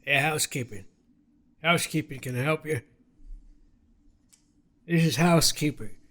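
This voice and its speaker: Picture an odd male housekeeper who won't leave the door, awkward and too reassuring, as a middle-aged man puts him on in a comedy podcast. His voice sits a little high for a man, and he keeps pressing his point. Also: normal speed, a bit bright, faint music behind, close to an everyday voice. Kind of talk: improvised comedy sketch.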